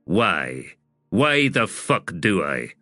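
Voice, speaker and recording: A man voicing a weary, hot-tempered soldier in a fantasy audiobook, gruff, terse and emphatic. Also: sits low, sing-song, extremely slow, bright, forceful tone, no room sound, soft background music, heavily acted. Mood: angry.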